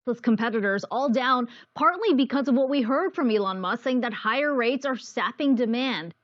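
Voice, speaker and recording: High-voiced woman anchor, dull sound. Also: animated, normal speed, no room sound. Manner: probing, pressing questions. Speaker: news anchor. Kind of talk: live TV interview.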